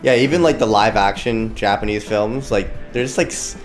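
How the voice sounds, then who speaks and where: A man's voice: lowish voice, normal speed, soft background music. Laid-back wry male co-host. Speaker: podcast co-host. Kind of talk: casual podcast chat.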